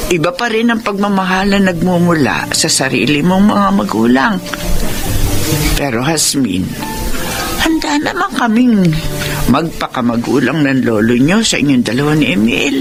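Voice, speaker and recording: Woman acting a coaxing, animated elderly Filipino grandmother. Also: lowish voice, normal speed, music in the background. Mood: gentle, apologetic. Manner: coaxing, sighing, hesitant with filler sounds. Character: tender, apologetic elderly Filipino grandmother with rheumatism. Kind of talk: dramatized radio-style family drama acting.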